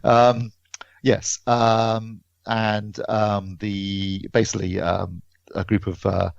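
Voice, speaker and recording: Man, gravelly, casual podcast chat, thinking aloud, roomy muffled sound. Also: lowish voice, little pitch movement, takes it slowly. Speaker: podcast co-host and film reviewer. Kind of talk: casual film release chat. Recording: dull, roomy lo-fi podcast audio.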